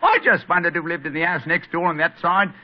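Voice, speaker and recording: A man doing a cheeky, servile cockney workman in an old radio play, dropping his grammar and calling everyone sir. The voice sits a touch high and sounds muffled and dated, like a vintage broadcast. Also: a little fast, natural voice. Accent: London cockney put on. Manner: chirpy, deferential, dropped grammar. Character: Holmes disguised as a cockney plumbing inspector. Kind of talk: 1940s radio acting.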